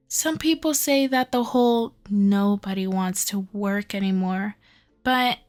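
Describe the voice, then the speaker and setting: Casting: actress as lovesick female kidnapper for ASMR roleplay, high-pitched, unhurried, doting and softly controlling, music underneath. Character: obsessive, sweetly possessive young woman kidnapper in love.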